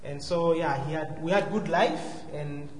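Higher-voiced southern African man in echoey hall. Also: measured pace. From Zambia and Malawi. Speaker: guest speaker. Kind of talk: personal testimony to a congregation. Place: large church hall, live before a congregation. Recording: echoey hall, faint noise.

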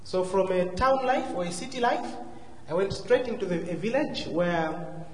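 A man with a southern African accent giving a personal testimony as a guest speaker, courteous, measured and hesitant. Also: sits high, expressive, darkish tone. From Zambia and Malawi. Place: large church hall, live before a congregation. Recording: echoey hall, faint noise.

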